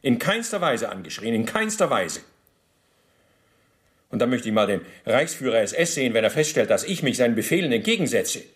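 An actor playing a bureaucratic, self-justifying Nazi war criminal under interrogation in a radio play, speaking formally and officiously. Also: male voice, mid-range voice, big pitch swings, fairly quick, natural voice. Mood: indignant. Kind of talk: German radio docudrama interrogation acting.

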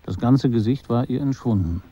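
An actor playing a man who narrates his memories in a literary radio drama, measured and understated, in slightly muffled sound. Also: medium pitch, mellow, slightly rough, dry recording, lightly acted. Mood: reflective. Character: male narrator recalling a woman he knew.

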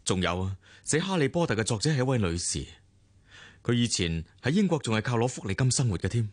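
A man's voice: medium pitch, very animated, fast, dry recording. A man with a Hong Kong accent acting a devoted, patient businessman husband in a radio drama, earnest and placating. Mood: persuasive, placating.

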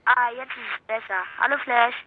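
A tinny, slightly noisy phone line carries an excitable young boy. The voice is fairly high, in the style of a children's radio play. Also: moderate pace. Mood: casual. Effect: telephone filter.

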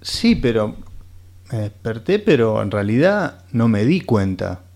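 Male actor for radio theatre: dreamy, rambling Rioplatense man, gravelly fry, hesitant delivery. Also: fairly low pitch, sing-song, unhurried, darkish tone, exaggerated voice. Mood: animated storytelling. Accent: Rioplatense Argentine. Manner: hesitant, rambling, repeats himself. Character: muddled, dreamy man telling rambling anecdotes.

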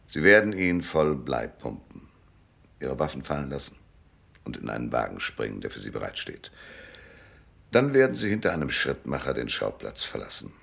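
An actor in a German radio drama playing a world-weary, cynical private detective with a laconic, knowing manner and a deep, gravelly voice. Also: male voice, big pitch swings, moderate pace, a bit bright, full character voice. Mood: cool, grim.

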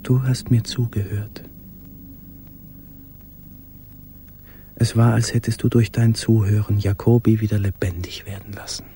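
An actor playing a tormented, lonely man who confesses haltingly in an intimate radio play monologue, often sinking toward a whisper. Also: lowish voice, little pitch movement, noisy background, natural voice. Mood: ashamed, confiding.

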